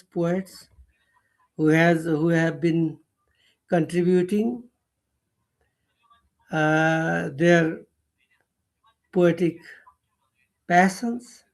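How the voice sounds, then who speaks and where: An older man, a guest poet speaking slowly and earnestly over a video call, pausing and correcting himself as he goes. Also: high voice, a little mellow. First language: Nepali. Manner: slow, halting and earnest, with pauses and self-corrections. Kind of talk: remarks at an online poetry recital.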